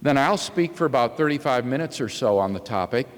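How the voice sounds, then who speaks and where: A man giving a formal lecture to an audience in a measured, deliberate way. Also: medium pitch, expressive. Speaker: public lecturer. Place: large indoor hall. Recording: echoing hall with long reverb.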